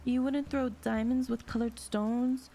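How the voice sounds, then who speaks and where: A woman's voice: on the high side, fairly even intonation, unhurried, mellow, a little hiss or hum. A woman playing a sly, sweet-talking con artist in an audio drama, with a sassy, teasing, self-assured delivery. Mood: smug, sarcastic. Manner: sassy, drawling terms of endearment, teasing.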